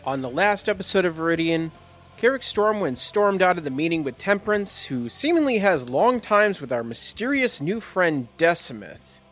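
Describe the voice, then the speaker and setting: A man's voice: sits high, big pitch swings, moderate pace, a bit bright, faint music behind. A man narrating a tabletop game as its host and game master, in a dramatic storytelling style.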